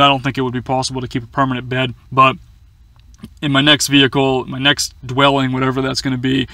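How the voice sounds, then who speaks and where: Bright, quick-talking young man. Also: medium pitch, no room sound. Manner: chatty, filler-heavy, stammering restarts. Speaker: van-life vlogger answering viewer questions. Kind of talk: casual Q&A chat. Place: inside a small camper van.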